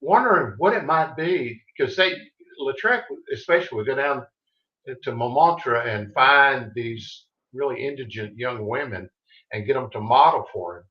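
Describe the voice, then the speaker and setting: A man's voice: mid-range voice, animated, normal speed. An author with a Texas accent chats through an interview in loose, rambling stretches. His voice comes over a dull, lo-fi line and rings a little in the room around him. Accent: Texan. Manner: rambling, chatty storyteller. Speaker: fiction author guest. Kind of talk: casual interview talk. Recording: dull, roomy video call audio.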